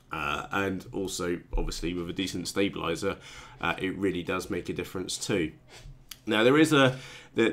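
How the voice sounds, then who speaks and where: A man's voice: low voice, a bit bright. A man hosting a casual hobby chat show, sounding blocked up and congested from a cold, rambling with many ums and restarts. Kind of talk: casual live-stream chat.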